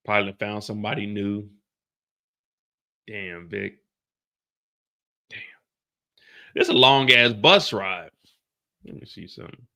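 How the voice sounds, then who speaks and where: A man's voice: lowish voice, sharp tone. A man giving casual, slangy reaction commentary on a TV episode. He talks to the screen with a mild stutter. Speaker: reaction video host.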